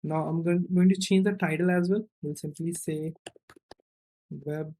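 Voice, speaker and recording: A man's voice: fairly high pitch, steady tone, unhurried, mellow. A man giving an unscripted, step-by-step programming tutorial, thinking aloud as he works and often checking in with a short questioning tag. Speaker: coding tutorial instructor.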